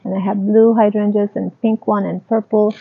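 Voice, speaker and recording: A woman giving a casual tour of her garden, talking in a slow, halting, plain way. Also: mid-range voice, level tone, gravelly, husky, dry recording. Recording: dry, tinny phone-like sound.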